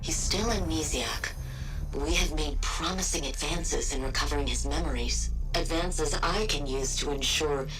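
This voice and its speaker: An actress playing a coldly analytical but devoted villain scientist in a video game, speaking in a measured, formal, precise way over music. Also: female voice, sits low, close to an everyday voice, rough recording. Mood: clinical, measured. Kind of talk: dramatic video game cutscene monologue. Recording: loud music under the voice.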